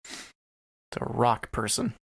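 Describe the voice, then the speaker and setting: A man's voice: takes it slowly, fairly bright tone. A man co-hosting a podcast with casual banter and jokey interjections. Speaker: podcast co-host.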